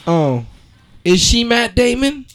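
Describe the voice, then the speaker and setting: A man's voice: very high voice, very animated, slow, fairly bright tone. A man trading casual jokes as a podcast co-host, full of swearing and exclamations. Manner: profane, exclamatory. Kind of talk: casual comic banter.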